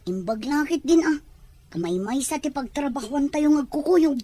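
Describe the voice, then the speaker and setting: A woman's voice: sits high, lively intonation, normal speed, mellow. A woman acting a reassuring young worker bound overseas in an Ilocano audio drama, with a Filipino Ilocano accent. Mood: keen.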